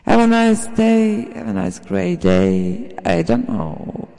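Man, non-native English speaker, high gravelly voice, halting sample-sharing chat. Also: huge pitch swings, warm tone, big-room sound. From Germany. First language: not English. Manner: halting, searching for words, slow with many fillers. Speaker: sound producer sharing samples. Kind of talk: casual video message.

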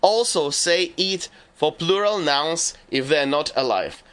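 Slow, bright-voiced male teacher. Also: fairly high pitch, lively intonation, clear, resonant tone. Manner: measured, deliberate delivery of lists and rules. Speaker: language teacher. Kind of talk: scripted instructional narration.

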